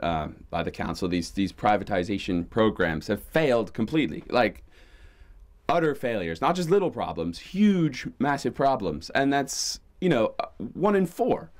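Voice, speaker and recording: A man's voice: on the low side, lively intonation, normal speed. A man talking casually and emphatically in a news interview. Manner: emphatic, informal.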